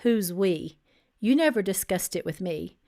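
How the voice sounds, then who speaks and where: A woman's voice: medium pitch, lively intonation, a little slow, warm tone, lightly acted. A woman reading a story aloud as a stern engaged man who is resentful, suspicious and firm. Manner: firm, edgy, incredulous.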